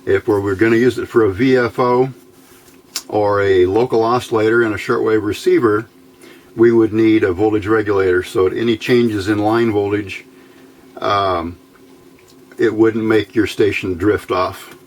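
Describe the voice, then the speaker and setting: A man giving an unscripted hands-on technical tutorial in a matter-of-fact, halting, step-by-step way. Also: lowish voice, steady tone. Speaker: host of an electronics build tutorial. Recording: clear background noise, room ambience.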